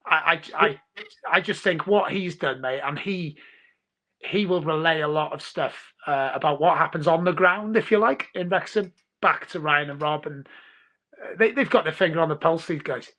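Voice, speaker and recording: A man's voice: on the high side, moderate pace, bright, forceful tone. A man from North Wales with a North Welsh accent, a Welsh speaker, chatting casually on a podcast and rambling with many restarts. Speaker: podcast guest. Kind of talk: casual chat.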